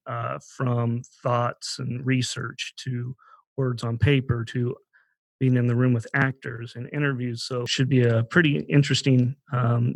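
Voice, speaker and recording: A man with a mid-pitched voice talks as a podcast host. His delivery is conversational, working through ideas aloud and rewording them as he goes. The sound is dry, with very little room in it. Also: no echo.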